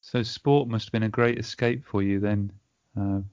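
A man at mid pitch hosting a podcast, putting short questions and brief encouraging prompts to his guest. His voice is dry and close, with a dull, lo-fi tone. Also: fairly even intonation, normal speed, a little mellow, smooth, no room sound. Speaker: podcast host. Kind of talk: interview questions.

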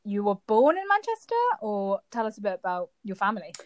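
Relaxed woman hosting a podcast chat. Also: medium pitch, fairly quick. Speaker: podcast host. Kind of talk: casual conversational interview.

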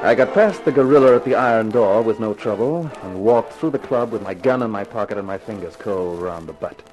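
A man's voice: on the low side, brisk, over music, lightly acted. Man acting a wry hard-boiled radio detective. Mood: wry narration. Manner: wry, slangy, tough. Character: hard-boiled wisecracking male private detective narrating his case. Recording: noisy vintage radio recording.

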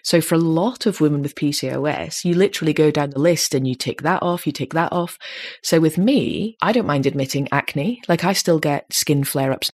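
Husky low-voiced woman in her thirties. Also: normal speed, a little mellow. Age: 30s. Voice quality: slightly deep voice. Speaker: podcast host. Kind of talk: casual chat.

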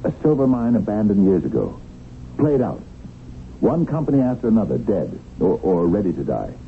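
Through a tinny, noisy old radio broadcast comes a flustered lawyer, a man with a very low, creaky voice. He stammers and picks formal words haltingly, in 1970s radio drama style. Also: big pitch swings. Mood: grave, uneasy. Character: hesitant, flustered small-time lawyer, married man.

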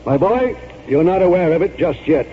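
An actor playing a pompous, stubborn old retired colonel in an oratorical 1940s radio style. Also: male voice, sits high, expressive, moderate pace. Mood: grandiose, combative. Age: older. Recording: tinny, noisy 1940s radio recording.

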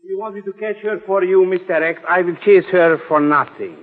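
An actor playing a roguish, grumbling sidekick with quaintly formal speech as comic relief in a 1940s radio drama. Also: male voice, high-pitched, fairly quick, close to an everyday voice. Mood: droll, grumbling. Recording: muffled, narrow-band old radio recording, reverberant.

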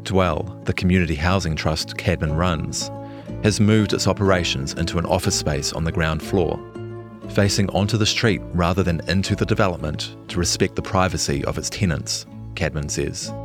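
A man's voice: deep voice, moderate pace, music in the background. A man reading a scripted longform news feature as a journalist narrator.

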